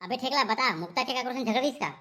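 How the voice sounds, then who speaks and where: Woman playing a fast, high-pitched Chhattisgarhi police inspector. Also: pushed and bright. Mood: scolding, interrogating. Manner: scolding, curt questioning. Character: blustering, bullying village police inspector. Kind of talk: Chhattisgarhi comedy cartoon voice acting.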